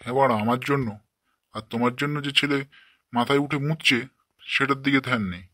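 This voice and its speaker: A man voicing a short-tempered, foul-mouthed village father in a comic cartoon, gruff and scolding. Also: mid-range voice, steady tone, brisk, sharp tone, no room sound, clean studio sound. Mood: grumbling, mocking.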